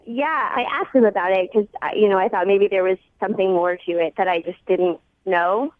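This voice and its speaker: A woman's voice: mid-range voice, fairly quick, dry recording. A woman talking casually on a telephone call to a radio show, a little hesitant and wryly amused. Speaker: phone-in guest. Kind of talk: casual phone conversation. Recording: thin narrow telephone line.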